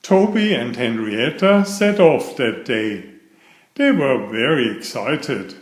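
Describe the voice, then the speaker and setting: A man's voice: medium pitch, animated, slow, roomy sound. A man narrating a children's story, reading aloud from a script.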